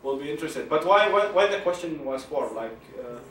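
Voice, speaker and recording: A young man from Iraq, Arabic native, with an Iraqi Arabic accent, answering audience questions at a talk in a halting way, repeating words and laughing often. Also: a little high, expressive, a bit bright, slight background noise. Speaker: guest speaker. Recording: echoey room from far off, faint ambience.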